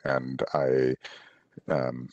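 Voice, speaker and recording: A middle-aged man explaining things in a casual, halting, thoughtful way as a guest author answering questions on a video call. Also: very deep voice, completely flat delivery, darkish tone, no room sound, highs cut off. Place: small room at home, indoors, on a video call. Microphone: headset on a video call.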